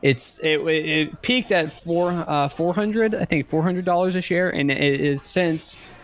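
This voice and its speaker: A man in his thirties co-hosting a casual podcast chat, speaking haltingly and often repeating words as he works out a thought. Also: fairly high pitch. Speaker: podcast co-host. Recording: clicks and steady background noise.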